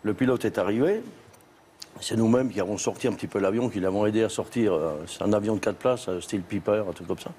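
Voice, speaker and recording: A man from Valais with a Swiss French accent, telling his story haltingly as a guest on a TV talk show. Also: lowish voice, warm tone, slight background noise. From Switzerland, Valais. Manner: halting, restarts with many fillers. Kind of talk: studio TV talk show interview. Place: TV studio set.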